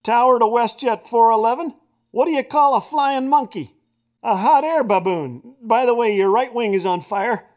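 A man's voice: very high-pitched, moderate pace, voice pushed up. A man playing a breezy, joking air traffic controller who teases pilots in playful radio calls.

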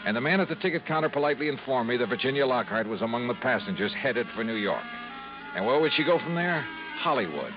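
An actor playing a brash, wry freelance investigator in punchy, hard-boiled 1950s radio style. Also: male voice, medium pitch, lightly acted. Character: brash, wry freelance insurance investigator narrating his case. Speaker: lead character and narrator. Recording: dull 1950s radio recording.

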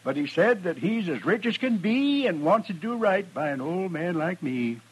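An actor playing a gullible, excitable old rancher with folksy, rustic speech in an old-time radio western. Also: male voice, sits high, moderate pace, husky, full character voice, rough recording. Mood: gleeful. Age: older. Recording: vintage radio recording.

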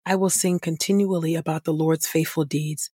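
A woman reading scripture as a podcast host, in a measured style with deliberate, reverent phrasing. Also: deep voice, fairly even intonation, dark tone, breathy edge, no room sound, studio quality.

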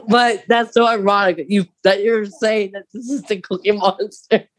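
A podcast co-host in a casual fan discussion, speaking in an animated, exclaiming way. The voice sits in a middle pitch and is recorded dry, with hardly any room sound. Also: normal speed, no echo.

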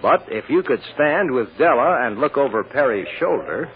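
A man's voice: medium pitch, lively intonation, normal speed, lightly acted. An American man acting as a grave, dramatic narrator of a courtroom story in an old-time radio drama. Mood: grave, suspenseful. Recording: tinny, noisy old radio recording.

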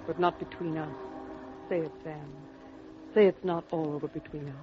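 Actress as pleading young radio femme fatale. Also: female voice, low-pitched, fairly even intonation, moderate pace, music in the background, natural voice. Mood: yearning. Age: young adult. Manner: breathless, pleading, emotional. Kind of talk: 1940s radio melodrama acting. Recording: dull, noisy old radio recording.

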